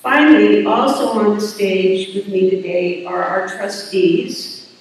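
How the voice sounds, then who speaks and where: A woman's voice: medium pitch, fairly even intonation, slow pace. An older woman, a university president, giving formal commencement remarks from a podium with light self-deprecating asides. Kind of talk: commencement address. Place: large hall, at a podium on stage. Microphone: podium microphone. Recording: echoey hall, heard from a distance.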